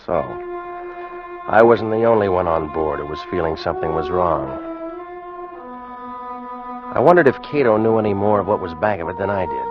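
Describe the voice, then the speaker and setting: A man's voice: low voice, lively intonation, moderate pace, long pauses. An actor playing a tense, watchful ship's officer who narrates his tale in a 1940s radio drama. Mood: suspenseful narration. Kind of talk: 1940s radio acting, first-person narration. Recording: dull vintage radio recording with music.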